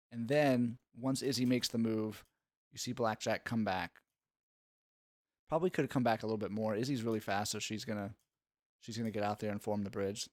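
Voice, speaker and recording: A man's voice: fairly low pitch, dry recording. A man giving analytical play-by-play sports commentary in an instructive, explanatory way.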